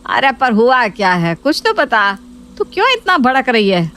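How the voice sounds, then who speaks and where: A woman's voice: fairly high pitch, very animated, normal speed, a bit bright. A woman playing a stern, bossy middle-aged mother-in-law in a spoken story. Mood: puzzled. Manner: scolding, bossy.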